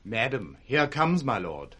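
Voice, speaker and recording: An actor playing an officious, gossiping steward with a British accent, in an animated 1960s radio Shakespeare style. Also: male voice, mid-range voice, measured pace, breathy edge, heavily acted. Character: officious, gossiping steward reporting to his mistress.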